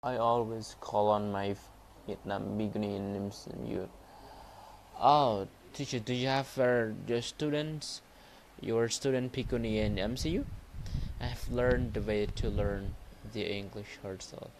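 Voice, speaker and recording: Halting man with a Cambodian accent. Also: lowish voice, fairly even intonation, a little hiss or hum, poor audio. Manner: halting, repetitive, non-native English phrasing. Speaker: Buddhist monk teacher and livestream host. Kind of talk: informal livestreamed motivational talk and lesson.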